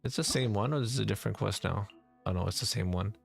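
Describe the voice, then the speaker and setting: A man's voice: on the low side, fast, creaky, soft background music. A young man giving casual, slangy livestream commentary as he plays a game, reacting aloud.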